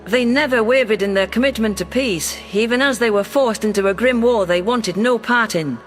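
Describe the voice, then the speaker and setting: A man's voice: high voice, normal speed, faint music behind, close to an everyday voice. A man voicing an affectionate, earnest alien governor in a science fiction audio story, speaking in a heartfelt way. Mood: earnest, proud.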